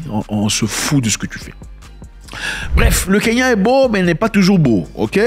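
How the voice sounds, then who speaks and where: A man giving casual spoken commentary, emphatic and sarcastic, who repeats words and swings between loud and quiet. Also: medium pitch, a little hiss or hum. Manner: sarcastic asides.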